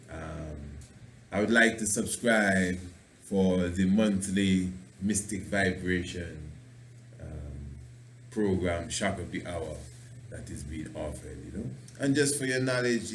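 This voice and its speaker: A passionate man hosts a radio talk show as a preacher, rambling and playing with words. His voice sits a little low and carries a roomy echo. A faint noise runs under his speech. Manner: passionate, rambling, fond of wordplay. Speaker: radio show host and priest.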